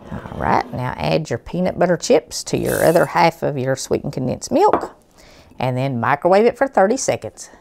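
Hoarse, low-voiced woman cooking. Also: sing-song, moderate pace, a little mellow. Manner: homey, chatty, folksy explanations. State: hoarse from a cold. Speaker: cooking show host. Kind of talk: home cooking demonstration. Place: home kitchen, indoors.

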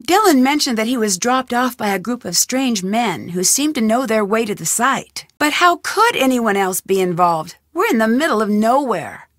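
A woman's voice: fairly high pitch, big pitch swings, moderate pace, hardly any pauses, no room sound, clean studio sound. An actress voicing a curious, determined young female amateur detective in a video game, asking earnest questions. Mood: puzzled. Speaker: game protagonist. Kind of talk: studio video game voice lines.

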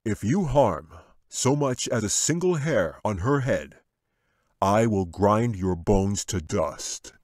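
A man's voice: low-pitched, a little slow, long pauses, darkish tone, no echo, studio quality. A man voicing a stern, protective male angel in a storybook, firm and grave. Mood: threatening.

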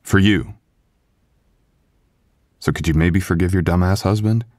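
A man playing a sweet, apologetic, devoted young husband in an intimate boyfriend ASMR roleplay, with a halting, tender, self-deprecating delivery. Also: low voice, a little mellow, dry recording, clean studio sound. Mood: tender, reassuring.

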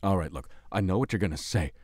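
A man acting a hot-tempered, guilt-ridden gangster brother in an audio drama, speaking haltingly and repeating words. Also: sits low, expressive, darkish tone, slight background noise. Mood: remorseful.

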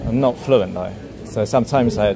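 A man vlogging as he walks a city street, chatting in a low-key, laid-back way. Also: normal speed, vocal fry. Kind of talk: casual street chat. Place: busy city street outdoors. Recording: loud street noise behind.

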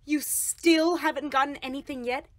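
Woman as high, brash cartoon monster girl. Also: steady tone, normal speed, music in the background, natural voice. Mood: urgent. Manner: forceful, casual. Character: bold, brash, protective young female monster booth attendant. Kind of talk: animated web series voice acting.

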